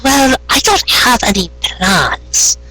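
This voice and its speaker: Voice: medium pitch, very animated, slow pace, bright, forceful tone, voice pushed up, exaggerated voice, low-quality recording. A storyteller voicing a polite, eager young female pony farmer who speaks hesitantly and deferentially. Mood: confused, apologetic.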